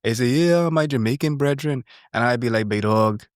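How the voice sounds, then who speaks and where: A man's voice: medium pitch, moderate pace, dry recording, clean studio sound. A young man with a thick Bahamian accent, a content creator, chatting on a podcast in a boastful, joking way full of slang. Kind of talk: casual podcast chat.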